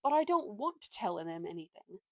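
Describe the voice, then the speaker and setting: A woman voicing a gentle, easily persuaded male donkey in a storybook, sounding weary, plaintive and apologetic. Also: medium pitch, very animated, normal speed, no room sound. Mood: worried.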